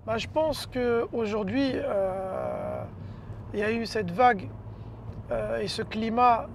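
High-pitched hesitant man from France. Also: lively intonation, takes it slowly. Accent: French. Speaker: interviewee. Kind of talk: personal testimony in a documentary. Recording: clear traffic and vehicle noise.

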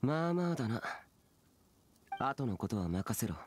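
A man playing an aloof, youthful immortal warrior in an anime game, speaking gravely and curtly. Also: medium pitch, big pitch swings, unhurried, slight background noise. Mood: solemn, instructive. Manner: curt, grave, stern. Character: solemn, aloof immortal warrior guardian, young-looking man. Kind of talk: Japanese anime game voice acting. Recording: game music behind.

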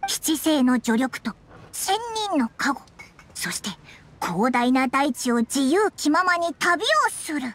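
An actress voicing a tiny, excitable fairy companion in a Japanese video game, with a squeaky childlike voice and blunt, boyish, expressive speech. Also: female voice, slow pace, a little hiss or hum, exaggerated voice. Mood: reading aloud.

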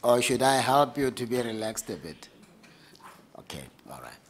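A man chairing an interview panel, asking short, brisk questions and often answering with a plain "yes". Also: medium pitch, very animated, a little hiss or hum. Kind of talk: formal questioning. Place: large formal conference room.

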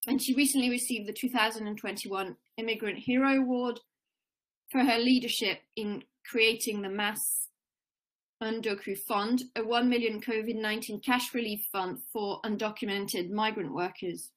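A woman's voice: on the high side, normal speed, rough recording. Woman reading formal introductions on a call. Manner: steady, formal, occasional fillers. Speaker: symposium co-organizer introducing speakers. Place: home room with plants and shelves. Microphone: laptop webcam on a video call.

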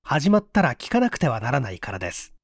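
A man's voice: mid-range voice, big pitch swings, fast talker, gravelly, no echo, clean studio sound. A man reading a literary mystery story aloud as scripted audiobook narration. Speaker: audiobook reader, stage actor.

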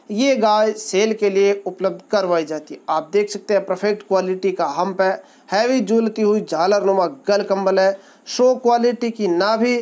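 A man from Rajasthan narrates as the host of his own channel. He lists points one after another in a steady, promotional voice-over, polite and formal in his greetings. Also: sits high. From Rajasthan, India. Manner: steady salesy listing of features, formal greetings. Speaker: channel host, livestock seller presenter. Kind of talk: promotional voice-over describing a cow for sale.

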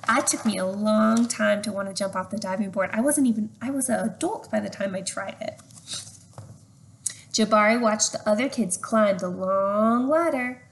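A young woman doing an expressive read-aloud for children, with chatty questions to her listeners. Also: medium pitch, moderate pace. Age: young adult. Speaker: storyteller reading to children. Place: open living room at home. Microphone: webcam at arm's length. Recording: slightly noisy home webcam recording.

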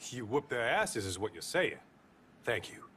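An actor playing a weary, plainspoken small-town preacher in a video game, blunt yet solemn. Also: male voice, medium pitch, sing-song, moderate pace, pushed and bright, slight background noise. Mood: solemn, grateful. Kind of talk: video game cutscene acting.

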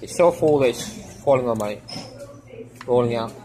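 A man's voice: medium pitch, low-quality recording. A man casually walking through a restaurant buffet, musing to himself as he fills his plate. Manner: slow, musing, talks to himself while picking food. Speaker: vlogger. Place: restaurant buffet line, indoors. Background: loud background music, clinking dishes.

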